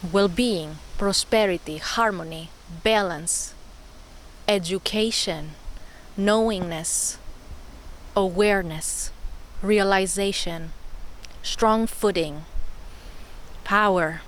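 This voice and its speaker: A woman's voice: lowish voice, slight background noise, poor audio. Encouraging woman with repeating affirmations. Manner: warm, encouraging, repeats words, laughs often. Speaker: spiritual channel host. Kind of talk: personal spiritual vlog monologue.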